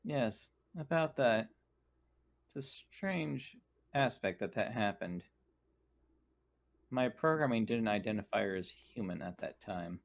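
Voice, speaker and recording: Man acting as dutiful android, very low pitch, formal wording, measured delivery, protective, tabletop sci-fi game. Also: lively intonation, vocal fry. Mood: puzzled, analytical. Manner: measured, formal, precise phrasing.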